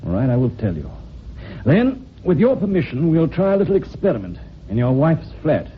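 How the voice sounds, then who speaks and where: Shrewd radio inspector, lively intonation. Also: male voice, fairly high pitch, breathy edge, exaggerated voice. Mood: wry, measured. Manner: measured, wry, authoritative. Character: shrewd, unhurried police inspector explaining the case. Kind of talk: old-time radio drama acting. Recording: dull, hissy old radio recording.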